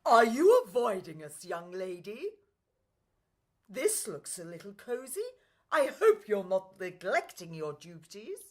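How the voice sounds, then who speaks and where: A woman's voice: medium pitch, big pitch swings, slow, pitched below the performer's own voice. A woman voicing an imperious, scolding stepmother in a children's radio play. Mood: suspicious, scolding. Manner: imperious, stern. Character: bossy, scolding stepmother, middle-aged woman.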